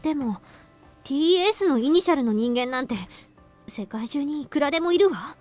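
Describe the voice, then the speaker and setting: A bright young girl. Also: sits high, animated, very fast, soft background music. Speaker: voice actress in a visual novel.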